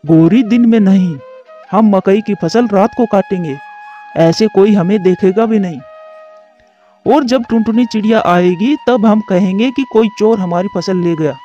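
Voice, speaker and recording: A man's voice: sits high, unhurried, dark tone. A man voicing a lazy, scheming crow husband in a children's cartoon. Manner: scheming, work-shy. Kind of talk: Hindi children's cartoon voice acting. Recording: dull lo-fi audio with music behind.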